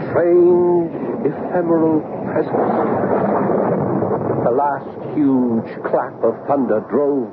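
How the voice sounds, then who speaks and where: A man's voice: a little high, close to an everyday voice. An actor playing a troubled, haunted man in an old-time radio horror drama, earnest, intense and theatrical. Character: troubled, haunted grown son seeking truth about his mother. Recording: noisy, muffled vintage radio recording.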